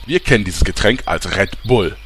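A man's voice: lowish voice, expressive, normal speed, fairly bright tone, a little hiss or hum. A man playing a smarmy, scheming ex-journalist with grandiose patter in a parody fantasy audio drama. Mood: flattering, grandiose. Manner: grandiose, gushing salesman patter. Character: smarmy, scheming male ex-journalist turned flatterer.

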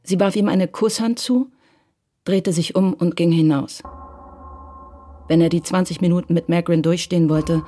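A narrator reading the story of a radio crime drama in a calm, even way. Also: medium pitch, moderate pace, pauses often. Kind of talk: scripted narration.

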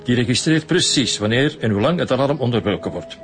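An actor playing a smug, condescending landowner with a Flemish accent and a falsely jovial manner in a radio crime drama. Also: male voice, mid-range voice, lively intonation, a little fast, faint music behind, lightly acted. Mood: smug, defensive. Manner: condescending, chuckling.

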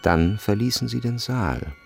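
A man narrating a literary radio drama from a script, measured and precise in his storytelling. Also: fairly low pitch, expressive, vocal fry, over music.

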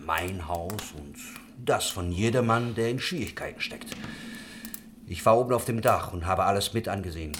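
A man's voice: lowish voice, moderate pace, fairly bright tone, slight background noise, natural voice. An actor playing a worldly, idealistic craftsman who leads a religious commune, musing and talkative, in a German historical radio drama. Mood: genial, knowing.

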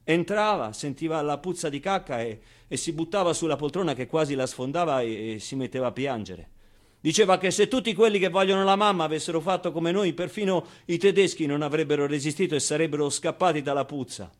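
Theatre monologue: male actor as wry, tender orphan boy, higher-pitched voice, confiding and conversational. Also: a little fast, lightly acted. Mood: animated, confiding. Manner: conversational, hesitant interjections, emphatic repetitions. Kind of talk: theatrical monologue, intimate storytelling.

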